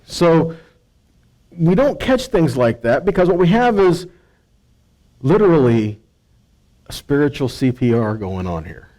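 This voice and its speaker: Male Bible teacher, slightly high-pitched voice, conversational sermon style, emphatic delivery, quoted dialogue, rhetorical asides. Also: big pitch swings, unhurried, long pauses, mellow, poor audio.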